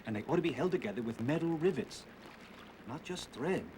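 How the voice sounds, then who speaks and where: A man's voice: fairly high pitch, animated, brisk, darkish tone, close to an everyday voice. An actor playing an earnest, inventive working man of the Old West, stammering slightly as he explains. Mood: earnest, eager. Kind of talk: television western acting. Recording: noisy VHS tape transfer.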